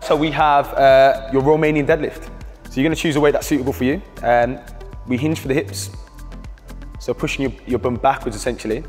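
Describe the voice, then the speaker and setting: British man, fitness coach, steady coaching cues, reverberant hall, faint music behind. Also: mid-range voice. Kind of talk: instructional workout voice-over.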